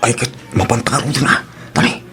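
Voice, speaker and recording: A man with a Filipino accent playing a wayward, wheedling young student son with a creaky voice in an audio drama. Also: brisk, pushed and bright, lightly acted. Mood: rowdy, scheming. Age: young adult. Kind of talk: Ilocano radio-style drama acting.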